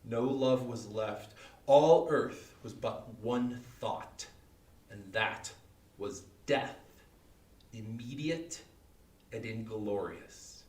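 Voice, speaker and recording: Slow, echoey man reading dark poetry. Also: medium pitch. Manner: slow, solemn, theatrical pauses. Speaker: volunteer or library staff reader. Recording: echoey room, distant mic.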